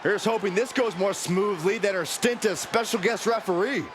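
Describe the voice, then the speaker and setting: An actor playing an excitable wrestling commentator calling the action in a video game. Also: male voice, sits high, moderate pace, close to an everyday voice. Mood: excited. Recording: loud arena noise and impacts.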